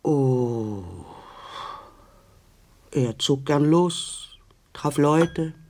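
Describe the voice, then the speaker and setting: An actor playing a pensive man who recalls someone distant, reflectively and with pauses, in a radio drama. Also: a little high, a little mellow.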